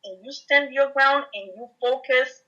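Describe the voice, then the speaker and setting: Dutch-speaking Belgian woman, bright lo-fi voice. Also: sits high, unhurried. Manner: emphatic, says "you know" a lot. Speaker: guest. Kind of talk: casual interview chat.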